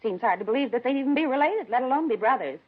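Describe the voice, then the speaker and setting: A woman's voice: high-pitched, expressive, very fast, breathy edge. An American woman acting a nosy, gossipy, indignant middle-aged neighbor who dotes on her cats, in a 1950s radio drama. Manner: chatty, indignant, rambling. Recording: dull 1950s radio broadcast recording.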